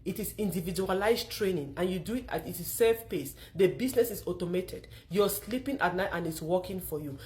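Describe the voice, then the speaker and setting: A middle-aged woman with a Cameroonian accent gives a motivational testimonial pitch, speaking emphatically with repeated phrases. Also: fairly quick, few pauses, darkish tone. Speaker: online marketer telling her own story.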